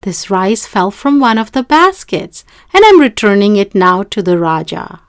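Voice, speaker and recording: A woman's voice: mid-range voice, big pitch swings, normal speed, clean tone, no room sound, studio quality, lightly acted. A woman voicing a clever young village girl from a folktale in a courteous, composed way. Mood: earnest.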